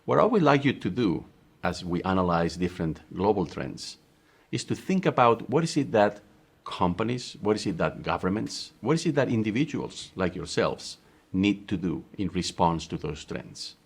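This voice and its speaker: A middle-aged professor from Spain lectures with a Spanish accent. His voice sits very low. He speaks in a measured, emphatic way and poses questions to his students. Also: sing-song, gravelly, slightly rough. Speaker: university professor. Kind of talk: recorded online course lecture.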